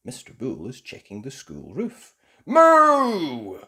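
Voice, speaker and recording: A woman narrating a children's storybook aloud, slow and expressive. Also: rumbling low, husky. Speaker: storybook reader.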